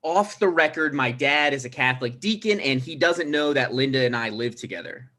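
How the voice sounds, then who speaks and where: A man's voice: mid-range voice, expressive, brisk, sharp tone. A man playing a hesitant, anxious thirty-five-year-old mayoral candidate with a mild manner in a comedy table read. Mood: anxious, sheepish.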